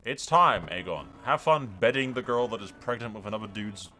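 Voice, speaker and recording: Animated man doing casual game commentary. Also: fairly low pitch, a little fast, a little hiss or hum. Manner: foul-mouthed, colloquial, jokey. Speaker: video game streamer narrating a playthrough. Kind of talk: casual, improvised game commentary with role-play storytelling.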